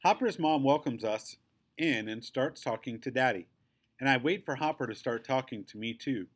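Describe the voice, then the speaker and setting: A man reading a children's story aloud in an animated, expressive storytelling style. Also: medium pitch, moderate pace. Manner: animated, acts out the dialogue.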